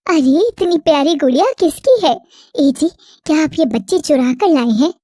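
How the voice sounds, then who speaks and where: A doting young village wife from a cartoon story, as a woman puts her on, coaxing and affectionate. Her voice is high and soft. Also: lively intonation, normal speed, no room sound, studio quality. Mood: fond.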